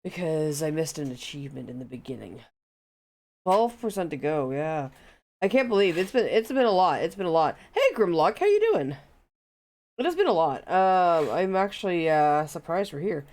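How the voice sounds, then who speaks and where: This is a woman with a low voice who is hosting a live game stream. Her nose is blocked up, so she sounds congested and nasal. She chats along in a loose, rambling way and fills the gaps with small hesitations. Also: sing-song, normal speed, a little mellow. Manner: chatty and rambling, with many fillers. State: stuffed up, nasal from allergies. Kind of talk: casual live stream chat.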